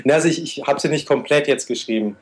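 A man's voice: medium pitch, quick pace. A young man in his twenties answers interview questions at length. He talks steadily and goes into a lot of detail, with a mid-range voice. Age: 20s. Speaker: interview guest, motorcycle traveler.

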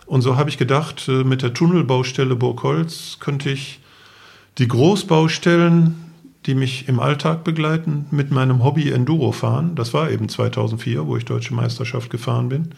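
A man's voice: medium pitch, normal speed, creaky, darkish tone, husky. A man telling his story as an interview guest, explaining things matter-of-factly and in detail. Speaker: interview guest, enduro event organizer; architect for the city.

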